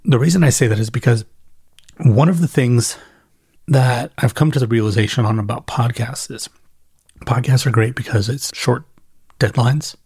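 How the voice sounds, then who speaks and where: Husky middle-aged man thinking aloud. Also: mid-range voice, normal speed, long pauses, a little mellow, no room sound, studio quality. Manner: conversational, thinking aloud, self-correcting. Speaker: podcast host. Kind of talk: casual reflective solo audio monologue.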